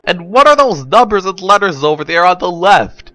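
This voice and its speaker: A man acts out an indignant, foul-mouthed pony in a story reading. The voice is blunt and emphatic, bright and forceful, with pitch that jumps around as he defies everyone. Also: very animated, normal speed, soft background music, exaggerated voice. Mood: baffled. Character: defiant, foul-mouthed male pony, irritated by pompous arbiters.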